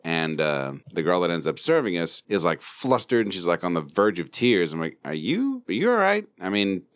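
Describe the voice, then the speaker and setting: A man's voice: low voice, very animated, moderate pace. A man telling anecdotes in casual banter with friends, laid-back and chuckling. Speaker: podcast co-host.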